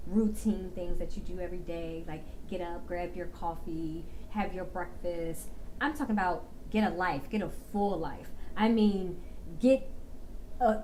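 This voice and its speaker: A woman vlogger talking to her viewers about getting a full life, slow and reflective with many fillers, a little raspy from a cold. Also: mid-range voice, slight background noise, low-quality recording. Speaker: vlogger giving life advice. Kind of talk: casual talking-to-camera advice.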